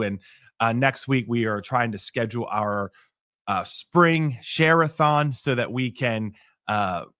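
A man's voice: mid-range voice, a bit bright, dry recording, studio quality. A middle-aged man with a cold hosting a casual morning live stream, rambling with many restarts and fillers. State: congested with a cold.

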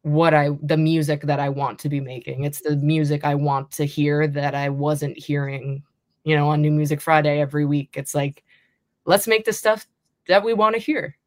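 A young woman singer-songwriter with a deep, slightly raspy speaking voice, talking casually in an interview and rambling with many restarts. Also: fairly even intonation, moderate pace, a little mellow, no echo, clean studio sound. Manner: rambling, lots of likes and restarts.

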